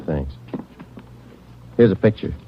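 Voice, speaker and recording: A man playing a terse, deadpan police detective in a 1940s radio drama, speaking in clipped lines. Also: sits low, slow pace, creaky, full character voice. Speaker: detective narrator. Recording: dull old radio broadcast with music.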